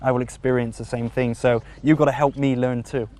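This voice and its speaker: A man presenting a documentary to camera outdoors at a rural monastery, speaking in a formal, measured way with some repeated phrasing, and chatting cheerfully with children. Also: medium pitch, little pitch movement, a little mellow, slight background noise. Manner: jokes and chats cheerfully with children.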